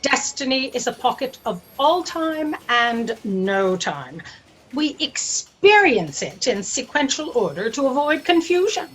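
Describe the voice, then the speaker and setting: A woman's voice: sits high, big pitch swings, unhurried, slightly rough, exaggerated voice, rough recording. A woman playing an imperious, exasperated boss of a cosmic office in a comedic audio drama, speaking in haughty declarations. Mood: lofty.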